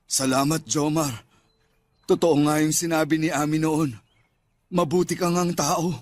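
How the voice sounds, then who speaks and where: Actor as a high-voiced, pleading older Filipino father. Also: fairly even intonation, measured pace, a little mellow, lightly acted. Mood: remorseful, grateful. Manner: pleading, earnest. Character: remorseful older father, pleading, anxious, protective. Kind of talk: Filipino radio drama acting.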